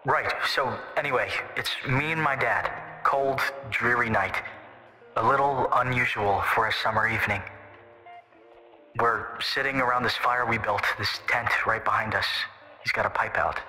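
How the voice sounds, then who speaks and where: A man's voice: medium pitch, bright, forceful tone. A man playing a terse, touchy young man telling a local ghost story. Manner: curt and gruff, then slow storytelling. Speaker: call-in guest storyteller. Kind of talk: fan audio drama acting. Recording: reverberant with music underneath.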